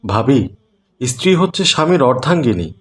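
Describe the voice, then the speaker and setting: Upright cartoon father, acted by a man, mid-pitched. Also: very animated, moderate pace, natural voice. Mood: admonishing. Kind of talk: Bangla cartoon dubbing.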